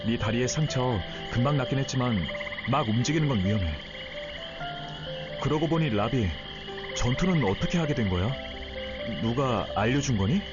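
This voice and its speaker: A man as earnest, expressive young companion. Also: medium pitch, normal speed, long pauses, dull audio, over loud music, close to an everyday voice. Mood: gentle, reassuring. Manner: caring, earnest, reflective. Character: gentle, thoughtful, protective young male companion. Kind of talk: Korean game character voice acting.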